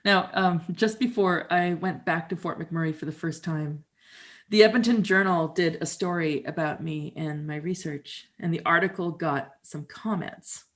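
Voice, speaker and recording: A middle-aged woman from Canada, performing a theatrical storytelling monologue in a wry, conversational, expressive way. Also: lowish voice, normal speed.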